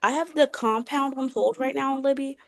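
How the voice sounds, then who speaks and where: A woman's voice: sits high, fairly quick, creaky, no room sound, studio quality. A young woman co-hosting a podcast in casual, chatty, slangy conversation. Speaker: podcast co-host.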